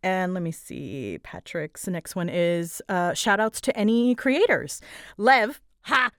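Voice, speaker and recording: A woman's voice: on the low side, animated, slow pace, no room sound, studio quality. A woman chatting casually and informally as a content creator answering listener questions, rambling in a self-deprecating way.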